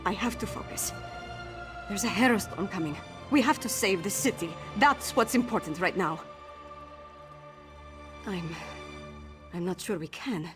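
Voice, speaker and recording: A woman's voice: mid-range voice, expressive, measured pace, long pauses, over music, natural voice. A woman playing a determined young princess in a fantasy video game, burdened but commanding, with an earnest, firm delivery. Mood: troubled, resolute.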